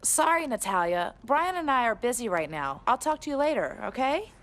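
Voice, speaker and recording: High, bright-voiced female wrestler. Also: very animated, moderate pace. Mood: dismissive. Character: polite but uneasy female champion wrestler. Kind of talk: scripted video game cutscene acting.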